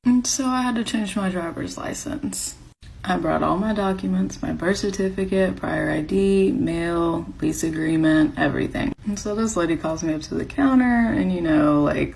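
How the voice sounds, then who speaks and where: Lady w vocal fry, lowish voice, dry matter-of-fact story. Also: slight background noise, poor audio. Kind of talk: casual anecdote.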